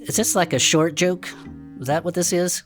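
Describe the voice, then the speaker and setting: A woman's voice: lowish voice, moderate pace, faint music behind, lightly acted. A woman playing a laid-back, teasing cryptid investigator who co-hosts a club show, wry and casual in a comedic audio fiction. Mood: mock offended.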